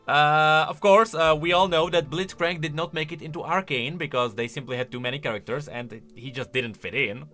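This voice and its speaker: A man's voice: a little high, moderate pace, hardly any pauses, sharp tone, soft background music. A man hosting casual reaction and explanation commentary, talking in an emphatic, conversational way. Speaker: video host.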